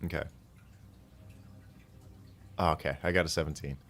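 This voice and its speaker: A man's voice: very deep voice, steady tone, unhurried, fairly bright tone. A man taking part in an improvised tabletop game as a player, role-playing casually.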